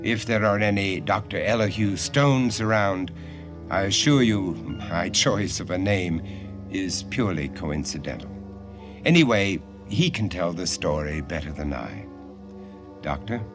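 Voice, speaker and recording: A man hosting a radio drama, introducing the story as a measured, scripted storyteller. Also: on the low side. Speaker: host and narrator.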